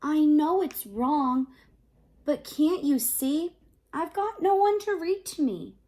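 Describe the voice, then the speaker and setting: Lady doing a sad little storybook creature guy, high meek voice, sorry and pleading, draws words out slow. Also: lively intonation, mellow. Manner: meek, mournful, drawn-out pleading. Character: small, sad, apologetic male book-stealing creature.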